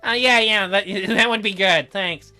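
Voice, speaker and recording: A woman's voice: medium pitch, a little slow, bright, forceful tone, faint music behind. A woman voicing an awkward, smitten teenage boy in a visual novel, stammering and flustered. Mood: startled. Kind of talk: visual novel dialogue read in a let's play.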